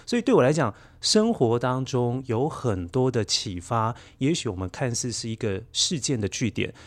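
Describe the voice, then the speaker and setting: A man in his forties with a Taiwanese Mandarin accent, speaking thoughtfully as an interview guest and explaining with gentle emphasis. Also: medium pitch. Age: 40s. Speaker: guest, children's story podcaster. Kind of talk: reflective interview talk.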